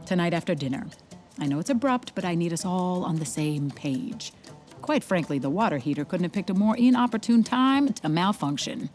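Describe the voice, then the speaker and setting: A woman's voice: fairly low pitch, animated, normal speed, darkish tone, soft background music, natural voice. An actress playing a stern, formal woman in charge of a rehab center, speaking in precise, authoritative sentences. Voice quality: formal, clipped, authoritative wording. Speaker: facility head. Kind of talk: audio drama acting.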